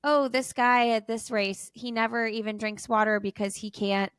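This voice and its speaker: A woman's voice: fairly high pitch, fairly even intonation, moderate pace, smooth, no room sound. A chatty woman guest, a podcast host and race announcer, talking casually and laughing often. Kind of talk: casual chat.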